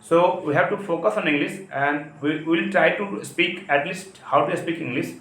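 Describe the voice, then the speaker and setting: A man from India with an Indian accent and Hindi as his first language, giving an explanatory English lesson as a teacher, with halting, repetitive phrasing. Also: on the high side, fairly bright tone. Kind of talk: spoken lesson, explanatory talk. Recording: echoey, roomy sound.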